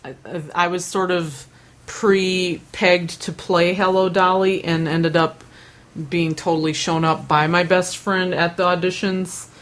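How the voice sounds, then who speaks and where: A woman's voice: on the low side, little pitch movement, measured pace. A young woman rambling through casual stories, with lots of fillers, restarts and self-deprecating asides. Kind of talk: casual chat.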